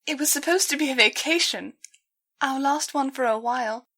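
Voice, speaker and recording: A young female pony from a dramatized story acted out by a woman, distraught and weighed down by guilt. Her voice sits high and she stammers, hesitating as she forces words out in pleading apology. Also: moderate pace, sharp tone. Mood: shaky, ashamed.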